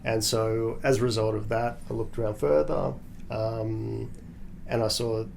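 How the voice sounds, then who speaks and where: A man from Australia, a guest on an interview podcast, explaining his background in a measured way with frequent restarts. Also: lowish voice, little pitch movement, gravelly, a little mellow, slight background noise.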